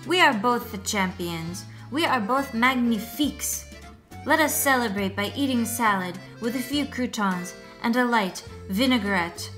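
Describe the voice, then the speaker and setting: Boastful but sweet little French snail for a storybook, voiced by a woman: bright, slow, dramatic delivery over faint music. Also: on the high side, animated. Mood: celebratory. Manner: theatrical, deliberate, addressing the child listener. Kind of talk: picture book read-aloud.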